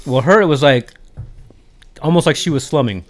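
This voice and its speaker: A man's voice: on the high side, lively intonation, normal speed. A man chatting casually, informal, opinionated and matter-of-fact. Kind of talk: casual chat.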